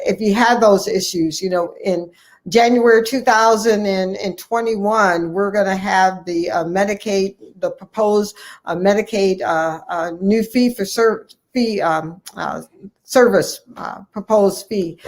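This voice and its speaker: A woman's voice: mid-range voice, measured pace. American woman giving earnest interview answers. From United States, Ohio. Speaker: guest, family physician and medical association president-elect.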